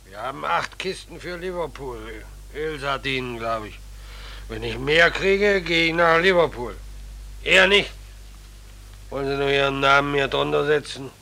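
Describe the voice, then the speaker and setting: Creaky, sharp-voiced ship's captain. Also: male voice, medium pitch, animated, a little slow, full character voice. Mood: dismissive. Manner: curt, officious, dismissive. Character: gruff, impatient male captain of a shady tramp ship. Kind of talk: 1940s German radio play acting.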